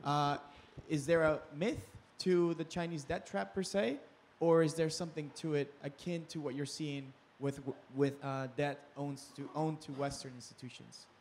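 A man's voice: fairly high pitch, fairly even intonation, unhurried, few pauses, darkish tone. A young man puts a spontaneous question to a panel, tentative and rephrasing as he goes. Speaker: audience member asking a question. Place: audience in indoor hall. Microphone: handheld microphone. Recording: faint room noise.

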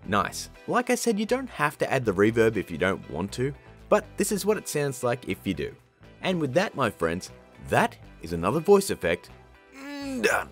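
A male tutorial host talks viewers through steps in a casual, slangy, joking style. His pitch swings widely as he goes, and faint music plays underneath. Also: wildly swooping pitch, normal speed, fairly bright tone. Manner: jokey, informal, slangy. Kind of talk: casual scripted software tutorial.

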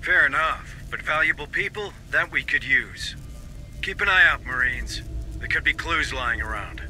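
A man's voice: a little high, animated, normal speed, sharp tone, faint music behind, poor audio. An actor playing a marine sergeant in a video game, commanding his squad with dry humor. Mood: dry, alert. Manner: commanding, dryly joking. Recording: faint background noise.

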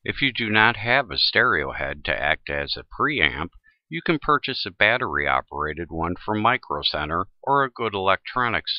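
A man's voice: sits low, no room sound, lo-fi sound. A man presenting a tutorial in scripted, instructional narration.

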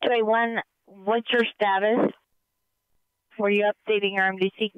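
A man's voice: high voice, level tone, moderate pace, clean tone, no echo. A man working as a dispatcher, giving clipped procedural messages over a police radio. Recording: muffled police scanner radio.

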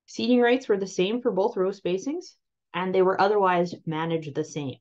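Woman, Canadian accent, agronomy presenter, steady explanatory delivery, mid pitch, muffled lo-fi sound. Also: moderate pace. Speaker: agronomy researcher presenting trial results. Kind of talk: slide presentation walkthrough. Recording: slightly muffled voice-over.

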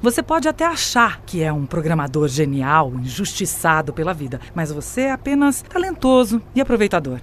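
A woman's voice: on the low side, slight background noise. A woman playing a manipulative artificial intelligence in an audio drama, with a theatrical, condescending manner. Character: scheming female artificial intelligence, smug, mocking, manipulative. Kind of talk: scripted audio drama acting.

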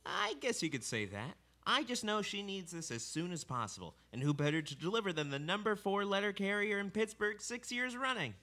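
A man's voice: sits high, animated, brisk, hardly any pauses, bright, forceful tone. A man playing a chipper, proud mail carrier in a radio play. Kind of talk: live radio theater acting, scripted.

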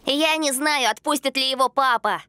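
A woman voicing an excitable, enthusiastic young boy in a cartoon dub. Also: high voice, normal speed, clean tone. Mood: eager. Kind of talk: animated series dub.

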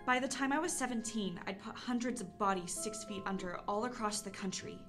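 A woman's voice: medium pitch, brisk, over music, natural voice. A woman playing a bold, pushy and curious teenage sister in an audio drama. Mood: reading aloud, engrossed.

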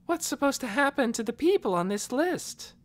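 Woman as a curt young girl, pitched up high. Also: sing-song, moderate pace. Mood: cold, curt. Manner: curt, guarded. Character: hurt, cold, grudging young girl.

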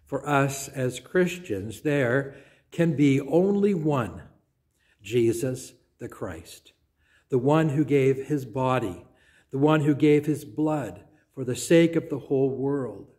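Older man, pastor, unhurried sermon delivery, soft trailing phrase endings, small church, close camera mic. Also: medium pitch, lively intonation. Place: small church sanctuary, indoors. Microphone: phone or camera at close range.

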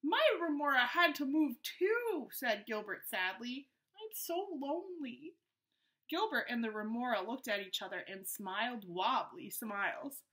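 A woman reading a storybook aloud and voicing a young boy shark who is gentle, sensitive and lonely, with a childlike, pouting delivery. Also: a little high, animated, normal speed, few pauses, rough recording. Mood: sad. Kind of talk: storybook character voice.

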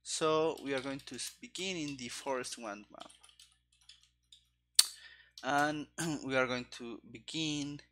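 A man in his thirties thinking aloud as he codes live, halting and muttering in fragments into a headset mic. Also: medium pitch, fairly bright tone, slight background noise. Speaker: game developer streaming his coding. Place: small room indoors.

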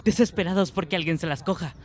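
A man as a high-voiced, cheeky teenage boy. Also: quick pace, close to an everyday voice. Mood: eager. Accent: Latin American. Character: cheeky, eager, girl-hungry teenage boy. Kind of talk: amateur fandub acting. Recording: clear background noise.

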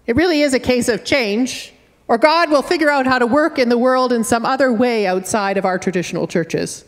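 A middle-aged woman with a Canadian accent, a minister giving a measured, earnest sermon from the pulpit with gentle humor. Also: on the high side. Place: wood-panelled church sanctuary, at a pulpit. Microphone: fixed church camera at a distance. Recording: church with long reverb.

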